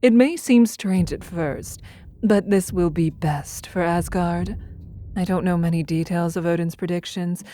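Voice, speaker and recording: A woman playing a confident, shrewd goddess in an audio drama, speaking in a measured, wry and persuasive way. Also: lowish voice, dark tone, a little hiss or hum, lightly acted. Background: background music.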